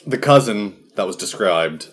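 Male podcast co-host, very deep voice, dry teasing wit. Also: lively intonation, unhurried. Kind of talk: casual chat about films.

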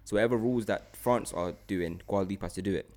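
A young man co-hosts a casual chat. He rambles through his stories in an animated way, leaning on everyday slang. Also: deep voice, quick pace. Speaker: podcast co-host.

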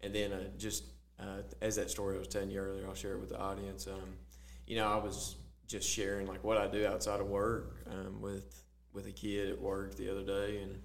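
A man's voice: deep voice, fairly quick, gravelly, hardly any pauses. Man telling stories with a faint hum behind. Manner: storytelling, animated quotes, filler-heavy. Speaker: podcast co-host. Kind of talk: casual faith podcast chat.

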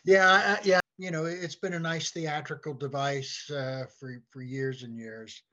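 A man chatting casually as a podcast guest, halting and often repeating his words. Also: fairly high pitch, steady tone, bright, forceful tone. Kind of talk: casual chat. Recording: dull, lo-fi audio.

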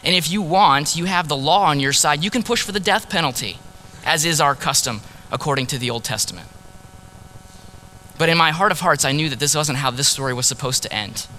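A man preaching in the first person as a grieving ancient prophet, earnest and sorrowful, dropping to a hush on key words. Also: fairly high pitch, brisk, slight background noise, rough recording. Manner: earnest, sorrowful storytelling, drops to hushed tones on key words. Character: grieving ancient male prophet, heartbroken husband. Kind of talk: dramatic first-person sermon monologue.

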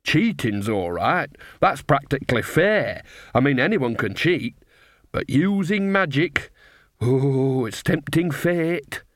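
A man's voice: medium pitch, sing-song, slow, no room sound, studio quality. A man voicing a worldly old witch woman who talks in a folksy, emphatic, matter-of-fact way, as an audiobook character. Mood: disapproving.

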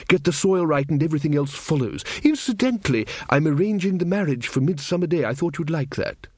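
A man's voice: a little high, animated, brisk, a little mellow, no echo, clean studio sound, voice pushed up. A man doing a fussy stammering young king. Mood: earnest, fussy. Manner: pedantic, self-satisfied, stammering.

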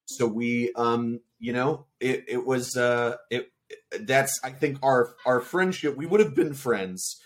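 A man's voice: mid-range voice, slow. A man in casual podcast chat, talking in a meandering, reflective way.

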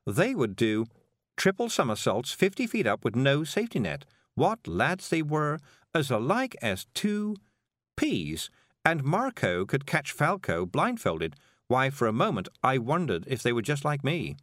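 A man voicing a cheerful, patient woman witch who gently explains things, in an audiobook. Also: mid-range voice, very animated, a little slow, no echo, studio quality, voice pushed up. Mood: reminiscing. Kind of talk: audiobook character voice.

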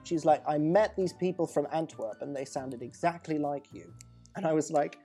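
A man co-hosting a casual podcast conversation, talking in a measured, reflective way with pauses and soft laughs. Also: fairly high pitch, soft background music. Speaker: podcast co-host.

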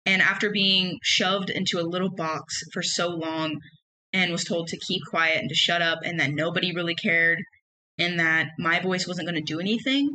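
A young woman with a loud, emphatic voice, telling personal stories in a casual solo podcast. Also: lowish voice, fairly even intonation, moderate pace, a little hiss or hum, low-quality recording. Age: young adult. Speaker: podcast host.

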